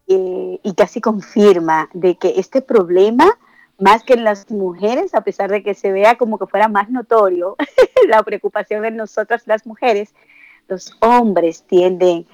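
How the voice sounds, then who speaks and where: A woman's voice: mid-range voice, darkish tone, no room sound, low-quality recording. A woman from the Dominican Republic with a Dominican accent, co-hosting a radio show and speaking earnestly, with frequent hesitations. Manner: earnest, halting, many "eh" fillers. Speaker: radio co-host. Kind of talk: reflective radio talk.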